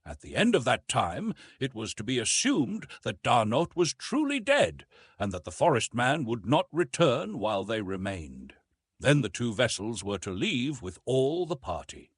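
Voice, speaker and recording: A man narrating a classic novel as an audiobook, in a formal, expressive, dramatic style. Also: medium pitch, husky, dry recording, studio quality. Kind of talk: scripted literary narration, dramatic.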